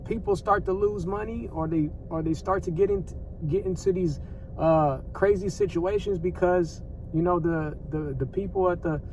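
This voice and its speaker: American guy, slightly high voice, casual vlog rant with slang and cussing, a little off the mic. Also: a little mellow, distant mic, a little hiss or hum, poor audio. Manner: conversational, repetitive, peppered with slang and swearing. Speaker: vlogger. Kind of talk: casual off-the-cuff news commentary.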